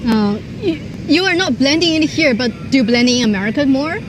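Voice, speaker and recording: High-voiced woman interviewer on the street. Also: animated, a little fast. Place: busy city street outdoors. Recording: street traffic noise.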